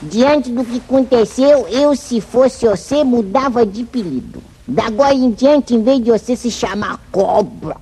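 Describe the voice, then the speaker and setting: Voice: mid-range voice, animated, unhurried, few pauses, mellow, breathy edge. A performer playing a cheeky, mocking boy sidekick in a 1950s radio serial. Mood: mocking, gleeful. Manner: teasing, folksy, gleeful. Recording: noisy muffled vintage radio recording.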